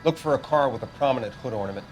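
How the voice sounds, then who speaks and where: An actor playing a matter-of-fact coroner in a crime drama game, clinical and unruffled. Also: male voice, mid-range voice, very fast, close to an everyday voice. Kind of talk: scripted crime drama acting. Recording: music behind the voice.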